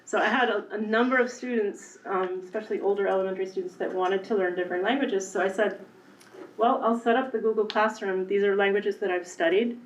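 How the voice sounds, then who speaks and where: A woman's voice: mid-range voice, fairly even intonation, fairly quick. A woman elementary Spanish teacher presenting conversationally at a school committee meeting, with frequent ums. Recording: echoey room, distant, muffled.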